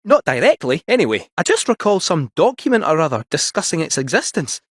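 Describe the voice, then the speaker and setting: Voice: medium pitch, big pitch swings, brisk, breathy edge, no room sound, studio quality. An actor voicing a jaunty, theatrical robot cat with a Scottish accent in a video game, helpful, folksy and a little fussy. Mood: hesitant, earnest. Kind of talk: animated video game character acting.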